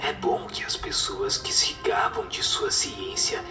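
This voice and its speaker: A man voicing a wise, solemn spirit who teaches gravely in a low, breathy, half-whispered voice, in a radio drama. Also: measured pace, roomy sound, distant mic, music in the background, close to an everyday voice. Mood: solemn, stern. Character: wise superior spirit answering questions, solemn and instructive.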